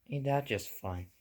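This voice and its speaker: A man's voice: medium pitch, a little mellow. A man giving a casual, rambling show-and-tell as a hobbyist vlogger, offhand and full of detail.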